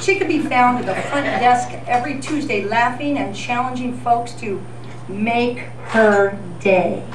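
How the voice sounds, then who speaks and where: A woman's voice: fairly high pitch, unhurried. Woman co-host, echoey and far off. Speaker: co-host playing a radio news reporter. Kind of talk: scripted old-time radio news narration. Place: senior center hall. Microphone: picked up from far off. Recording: echoey, noisy, distant live event recording.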